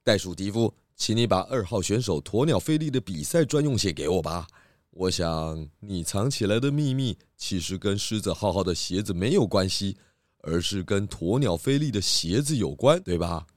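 Man as low-voiced, shrewd pig detective. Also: lively intonation, no echo, studio quality, lightly acted. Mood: probing. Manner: measured, gently probing. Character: calm, shrewd, kindly male pig detective. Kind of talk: children's audio drama.

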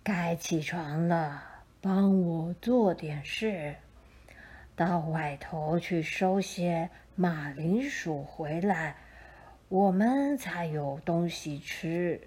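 Woman acting slow, low-voiced kindly old man. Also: slightly rough, exaggerated voice. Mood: gentle. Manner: slow, drawn-out, gentle delivery. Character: kindly, dignified old hermit man, gentle and welcoming.

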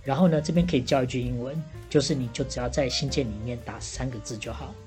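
Very low-pitched Taiwanese woman host. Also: quick pace, dark tone, faint music behind. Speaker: podcast host playing bartender and advisor. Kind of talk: casual advice chat.

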